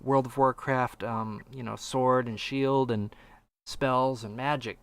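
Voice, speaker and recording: A man's voice: mid-range voice, fairly even intonation, slow pace, smooth, no echo. A man hosting a casual podcast discussion, posing questions and often searching for his words. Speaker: podcast host asking questions.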